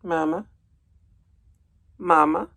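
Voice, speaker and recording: A man's voice: a little high, fairly even intonation, extremely slow, gravelly, bright, forceful tone, pitched above the performer's own voice, full character voice. A man voicing a tiny, needy baby gosling in a children's storybook, calling out pleadingly for its mother.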